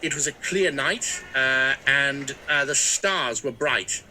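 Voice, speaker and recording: A man playing a superstitious old small-town historian in a mystery drama, telling folksy tales with hesitant pauses. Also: mid-range voice, bright, forceful tone, soft background music, poor audio. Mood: uneasy, secretive. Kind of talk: scripted mystery drama interview.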